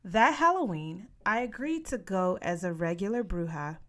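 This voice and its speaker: A woman reading a children's novel aloud as an expressive audiobook narrator. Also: lowish voice, moderate pace. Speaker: audiobook reader.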